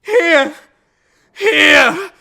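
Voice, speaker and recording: A man's voice: very high voice, drawn-out words, creaky, pushed and bright. A man giving an intense, theatrical first-person dramatic reading of a classic tale. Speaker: narrator. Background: music.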